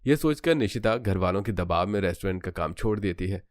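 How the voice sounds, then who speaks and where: A man's voice: deep voice, lively intonation, brisk, slight background noise. A man narrating a scripted story as a storyteller.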